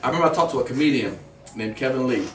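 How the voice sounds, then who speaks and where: A man, a comedian guest, telling profane, animated stories in a casual radio interview. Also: mid-range voice, faint music behind. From grew up in Germany, then Washington DC. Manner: animated, profane, quick storyteller. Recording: roomy, distant mic with faint ambience.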